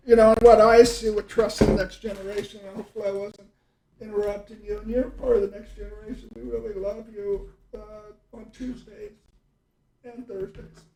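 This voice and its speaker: A man's voice: high voice, little pitch movement, brisk, vocal fry, a little mellow, a little hiss or hum, low-quality recording. A middle-aged man in casual conversation, reminiscing and telling stories in a rambling way, often trailing off mid-thought. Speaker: podcast host and storyteller.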